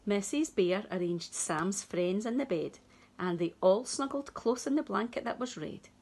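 A woman in her thirties reading a picture book to young children expressively and deliberately, with dramatic pauses. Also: medium pitch, expressive, a little mellow, husky. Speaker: early years teacher reading to children. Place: small room at home, indoors.

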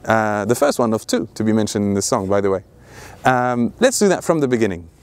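A man's voice: fairly low pitch, sing-song, a little fast. A man in his thirties teaching ukulele in a casual, playful, chatty way, outdoors in an open field. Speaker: ukulele tutor and video host. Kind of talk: casual music tutorial with sung examples. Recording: ukulele played alongside the voice. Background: ukulele strumming and plucking.

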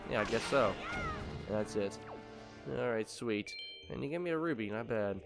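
Let's-play host, man, a bit nasal and low-pitched, rambling commentary over steady game music. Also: moderate pace. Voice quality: slightly nasal from allergies. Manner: rambling, thinking aloud with many ums. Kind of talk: casual gameplay commentary.

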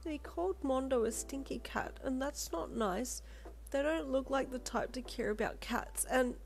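A woman's voice: high voice, a little slow, creaky, pitched above the performer's own voice. A woman voicing a tearful, defiant young girl who loves cats, in an audio storybook, protesting in sobs. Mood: holding back tears. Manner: sobbing, wailing, shouting protests.

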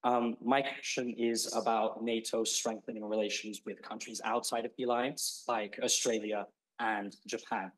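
A man's voice: fairly low pitch, level tone, moderate pace, rough recording. A man in his twenties from Luxembourg, a student asking a prepared question politely with some fillers. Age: 20s.

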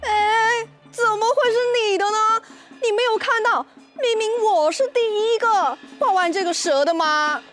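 Woman as boastful male guest in a kids' fable; smug, drawn-out delivery, high register. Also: music in the background. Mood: indignant. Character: third guest, boastful cocky male storybook snake-drawer.

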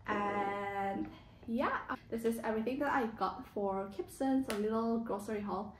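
A young woman talks casually to the camera as a lifestyle vlogger. Her voice sits a little high and her phrasing is informal, dotted with "like". The small kitchen gives a clear echo, with some noise behind her. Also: a little slow. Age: young adult. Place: small home kitchen, indoors. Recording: echoey room, clear background noise.

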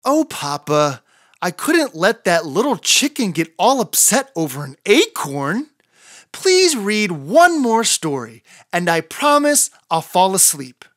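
A woman's voice: mid-range voice, big pitch swings, slow pace, fairly bright tone, no room sound, clean studio sound. A woman voicing an eager, impulsive little girl chicken in a storybook, pleading and blurting out warnings.

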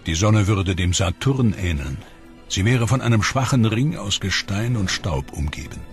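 A man narrating a science documentary in a measured, dramatic scripted delivery. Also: lowish voice, expressive. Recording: studio voice-over with music behind. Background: clear background music.

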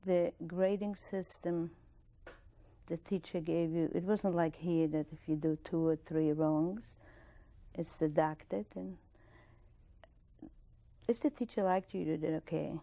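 An older woman with a Polish accent, in her sixties, talks in a low, warm voice with a gravelly edge. She tells her story in a measured, plain way, with restarts, and the lapel mic in the studio picks her up close. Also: fairly even intonation, lo-fi sound. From Poland. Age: 60s. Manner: measured, plain-spoken, with soft laughs and restarts. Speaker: interviewee, Holocaust survivor. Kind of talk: oral history interview. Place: studio with dark curtain backdrop.

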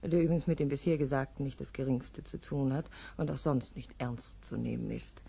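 A woman's voice: rumbling low, brisk, vocal fry, dark tone, slightly rough, heavily acted. A woman narrating a radio play interlude in a dry, slightly ironic tone.

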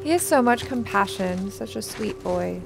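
A woman's voice: medium pitch, animated, moderate pace, creaky. A young woman giving casual reaction commentary as a host while watching a video game. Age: young adult. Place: indoor studio room. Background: game soundtrack music.

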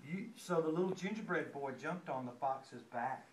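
A man in his sixties reading a storybook aloud to young children in an expressive way, pausing to ask them questions. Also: a little high. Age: 60s. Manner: expressive, repeats refrains, asks children questions. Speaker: guest reader to a class of young children. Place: indoor classroom with young children. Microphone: distant handheld camera. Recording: distant mic, echoey room, noisy with clicks.

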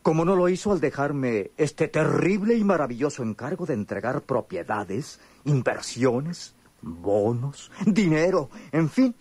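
An actor in a radio soap playing a pedantic, courteous lawyer who speaks formally and hesitantly. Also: male voice, fairly high pitch, sing-song, unhurried, darkish tone, breathy edge, heavily acted. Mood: solemn, wistful. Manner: pompous, hesitant, precise diction. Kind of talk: radionovela acting.

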